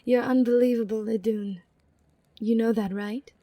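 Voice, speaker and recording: A woman playing a gentle, polite but primly chiding Norse goddess in an ASMR roleplay audio drama. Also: on the high side, fairly even intonation, normal speed, no echo, lightly acted.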